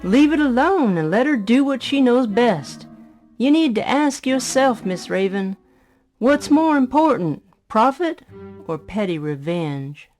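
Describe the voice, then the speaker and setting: A woman playing a frank, patient maid in an audio drama, measured and plain-spoken. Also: on the high side, animated, a little mellow, soft background music. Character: frank, patient maid, quietly sharp-tongued but kind.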